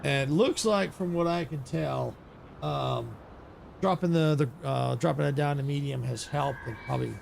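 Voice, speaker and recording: A man streamer wraps up his session in loose, rambling chat, backing up and restarting his sentences. His voice sits a bit high and sounds heavily gravelly and raspy from hours of talking. A faint hiss runs under the desk-mic sound. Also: moderate pace. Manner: rambling, many restarts and fillers. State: voice getting raspy. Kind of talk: casual stream sign-off chat.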